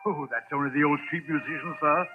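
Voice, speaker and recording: An actor playing a polite, hesitant, deferential club porter in a 1940s radio drama. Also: male voice, fairly high pitch, fast, music in the background, close to an everyday voice. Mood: deferential, uncertain. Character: deferential, mild-mannered male club porter. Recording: tinny old radio recording with hiss.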